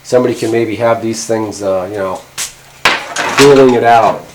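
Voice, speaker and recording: A man's voice: on the low side, normal speed, noisy background. A middle-aged man casually demonstrating gear as a video host, talking in a rambling, hesitant, informal way. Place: indoor garage workshop at a workbench.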